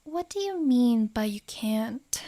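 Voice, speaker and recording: A woman playing a possessive, lovesick yandere in an ASMR roleplay, with soft, whispery, formally phrased speech and a wounded air. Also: a little high, expressive, takes it slowly. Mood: hurt pleading.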